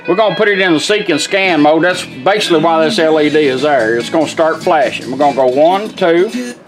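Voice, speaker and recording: Higher-voiced man demonstrating, music behind. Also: expressive, brisk, low-quality recording. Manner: plain-spoken, folksy, step-by-step. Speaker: vintage car radio restorer demonstrating a product. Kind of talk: informal hands-on product demonstration.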